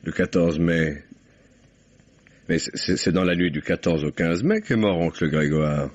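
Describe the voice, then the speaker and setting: Casting: urbane, mocking young gentleman, extremely low husky voice, vintage radio mystery. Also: expressive, unhurried. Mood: surprised. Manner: urbane, hesitant, drawling politeness with wry irony. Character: suave, sly, mocking young English gentleman suspect. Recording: dull, muffled old radio recording.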